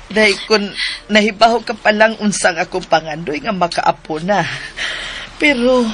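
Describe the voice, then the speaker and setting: A woman's voice: mid-range voice, slow, long pauses, a bit bright, slight background noise. An actress voicing an older mother longing for a grandchild, soothing and evasive in a radio drama.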